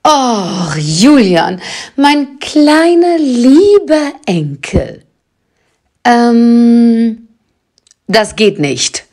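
An actress playing a bossy, scheming old witch grandmother in an audio drama, husky and commanding. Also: on the high side, sing-song, a little mellow, heavily acted. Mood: sly, domineering. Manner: commanding, drawn-out, conniving. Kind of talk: German audio drama acting.

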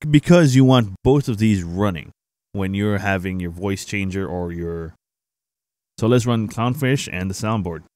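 Low-voiced man giving a casual tutorial. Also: unhurried, darkish tone, dry recording, studio quality. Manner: informal, explanatory, addressing viewers. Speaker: tutorial host, gaming streamer. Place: indoor home streaming setup. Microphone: close boom-arm microphone.

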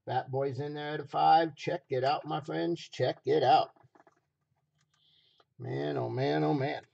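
A man hosting a livestream auction with folksy, repetitive casual sales patter, his voice rough, raw and a little hoarse. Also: a little high, fairly even intonation, unhurried, darkish tone, lo-fi sound. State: slightly hoarse.

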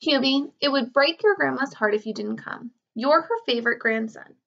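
A woman voicing a firm, no-nonsense mother in a storybook, brisk and insistent. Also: high voice, gravelly, fairly bright tone, muffled recording, heavily acted.

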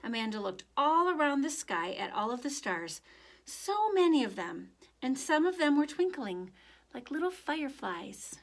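A woman's voice: high-pitched, very animated, moderate pace. A middle-aged woman with an American accent, reading a children's picture book aloud expressively. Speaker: children's story reader. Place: indoors, library room with bookshelves.

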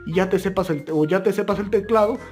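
A man's voice: high-pitched, quick pace, music in the background. A young man speaking in a casual, chatty, folksy way as he hosts a product-test vlog. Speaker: video host. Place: plain indoor room, also outdoors at night.

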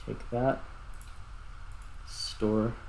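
Low-voiced man casually narrating gameplay. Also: little pitch movement, very slow, creaky, a little mellow, a little hiss or hum. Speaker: host, gamer.

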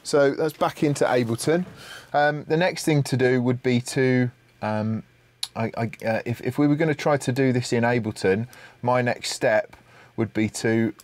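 A man's voice: mid-range voice, normal speed. A middle-aged man presenting an unscripted audio production tutorial, thinking aloud in a somewhat hesitant way. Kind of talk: unscripted screen-share tutorial.

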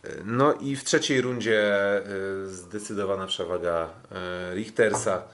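A Polish man with a fairly low voice talks as a podcast co-host. He recaps fights casually, hesitating often, stretching his fillers and restarting phrases as he goes. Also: unhurried. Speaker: podcast co-host, kickboxing commentator.

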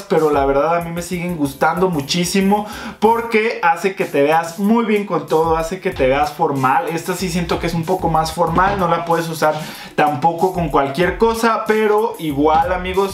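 Chatty young man in echoey room. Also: a little high. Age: young adult. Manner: chatty, enthusiastic, addresses viewers as friends. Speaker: fashion vlogger. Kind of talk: casual product review vlog. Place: indoor room with shelves. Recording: echoey room with faint music.

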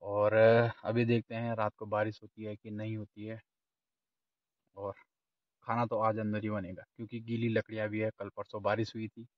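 A man's voice: fairly low pitch, monotone, normal speed, no echo, recorded from a distance. A man in his thirties, a camping vlogger, chatting casually to his viewers from inside a small tent. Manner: chatty, addresses viewers as friends. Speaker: camping vlogger, channel host. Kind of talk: casual vlog narration to viewers. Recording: slightly dull lo-fi camera sound.

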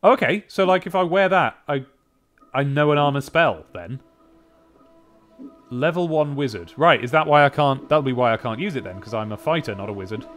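Loud game music plays behind a man in his thirties with a mid-pitched voice. He rambles through a casual let's play, chatty and whimsical, reading bits of game text aloud. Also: animated, normal speed. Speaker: gaming video host. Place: small home office with desks and monitors. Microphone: boom-arm microphone with pop filter.

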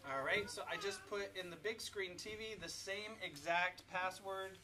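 Dad giving step-by-step directions. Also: male voice, sits high, normal speed, pushed and bright, slight background noise. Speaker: father leading a family activity and app demonstration. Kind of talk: casual instructional family vlog. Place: family home.

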